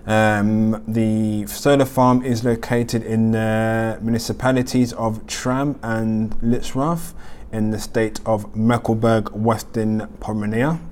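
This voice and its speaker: Man hosting a halting news roundup. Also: fairly low pitch, fairly even intonation, darkish tone, a little hiss or hum. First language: not German. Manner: hesitant, many ums and uhs. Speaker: host. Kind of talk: weekly news roundup vlog.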